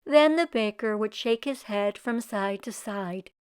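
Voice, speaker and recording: A woman narrating a children's storybook expressively, with deliberate dramatic emphasis. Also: medium pitch, clear, resonant tone. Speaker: read-aloud storyteller for children.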